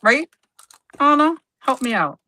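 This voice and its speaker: A woman's voice: sits high, sing-song, unhurried, pushed and bright, a little hiss or hum. A woman whose first language is Spanish, co-hosting a scrapbooking livestream in casual chat, often stopping to search for words and correct herself. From United States. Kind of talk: casual chat while crafting.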